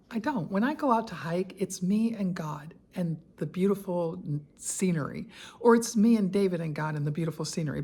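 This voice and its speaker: An older woman, telling a personal story to camera in an easy, conversational way. Her voice sits a little low, and she keeps things chatty and candid, dropping in asides and small laughs. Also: darkish tone, slightly rough. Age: 60s. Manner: conversational with frequent fillers and asides. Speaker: lifestyle vlogger. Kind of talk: personal reflective vlog.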